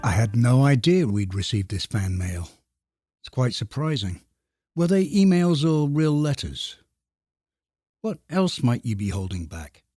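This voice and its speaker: A man speaking as a podcast co-host in a measured, deadpan and slightly formal conversational manner. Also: mid-range voice, breathy edge, no room sound, studio quality, soft background music.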